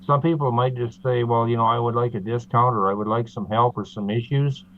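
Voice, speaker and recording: A man from Canada, a guest in an interview, explaining steadily with frequent pauses and fillers over a video call. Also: mid-range voice, fairly even intonation, a bit bright. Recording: tinny phone-like line.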